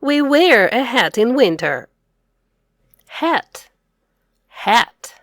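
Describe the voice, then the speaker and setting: High, lively woman teacher reading aloud slowly. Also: no echo. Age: middle-aged. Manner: clearly enunciated, repetitive teaching delivery. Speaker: English teacher and read-aloud host. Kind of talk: children's read-aloud lesson.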